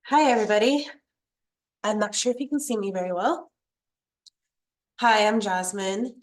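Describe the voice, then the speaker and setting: Uma estudante apresentando um trabalho numa videochamada, de forma simpática e direta, contando a própria experiência. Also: female voice, mid-range voice, normal speed. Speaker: student presenter. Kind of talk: presentation on a video call.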